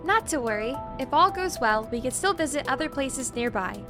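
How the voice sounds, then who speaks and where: A woman acts out a bookish young archaeologist in an audio drama. She has a high voice and a polite, precise, earnest way of explaining, over clearly audible music. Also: lively intonation, moderate pace. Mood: reassuring. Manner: precise, formal, eager to explain.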